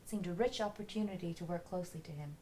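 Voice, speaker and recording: A woman's voice: lowish voice, quick pace, darkish tone, natural voice, low-quality recording. A woman reading the part of a composed, polite android spokesperson in a drama script. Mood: composed, friendly. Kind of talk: table read of a drama script. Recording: faint background noise.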